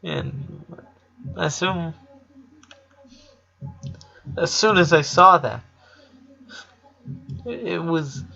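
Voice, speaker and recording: Grieving young girl played by a male reader, storybook drama, halting confession, dull lo-fi sound. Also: on the high side, creaky, pushed and bright, voice pushed up, heavily acted. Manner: halting, repeating words as if choked up. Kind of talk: storybook character reading.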